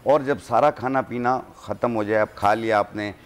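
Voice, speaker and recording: A man with a fairly low voice speaks as a herbalist guest on a studio talk show. He walks through each home remedy calmly and steadily, in an instructive tone. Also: moderate pace. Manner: calm, instructive, gives home remedies step by step.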